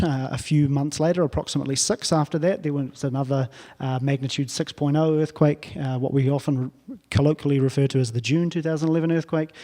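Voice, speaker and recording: New Zealand male lecturer, halting delivery full of fillers, ringing lecture-hall reverb. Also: medium pitch, dark tone. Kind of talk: technical lecture.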